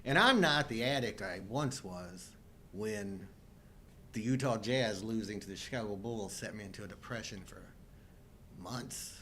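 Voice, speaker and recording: A man's voice: on the low side, a little slow, bright, forceful tone, slight background noise. A middle-aged man chatting casually as co-host of a live show. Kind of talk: casual chat.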